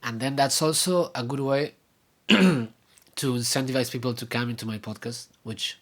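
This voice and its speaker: A man's voice: medium pitch, fairly bright tone. Young Argentine man, Spanish native, mid-range voice, casual rambling vlogger. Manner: rambling, halting, with many fillers and restarts. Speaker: vlogger and podcaster. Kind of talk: casual video update.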